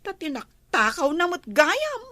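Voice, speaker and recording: A bubbly young village girl played by a woman with a high, bright voice, easily startled and chatty. The sound is close and dry, with no room echo. It suits a homemade comedy drama. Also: wildly swooping pitch, measured pace, exaggerated voice.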